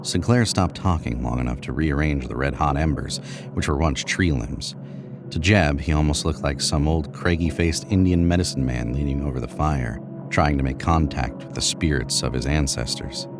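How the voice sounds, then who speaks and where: A man's voice: extremely low pitch, moderate pace, music in the background. A man narrating a scripted horror story.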